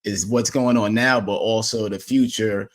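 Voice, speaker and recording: A man's voice: on the low side, steady tone, moderate pace. A man hosting a casual podcast interview, affirming his guest and speaking with frequent fillers. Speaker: podcast host.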